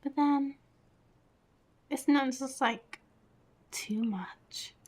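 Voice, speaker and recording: A woman's voice: sits high, very slow, background noise. A woman streaming a game, chatting casually and thinking aloud in an informal way. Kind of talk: casual live gaming stream commentary.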